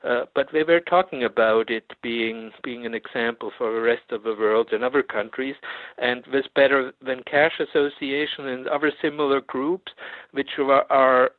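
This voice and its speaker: A man, a guest author on a phone interview, speaking haltingly with many fillers and restarts. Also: medium pitch, fairly even intonation, no echo. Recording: dry, tinny phone line.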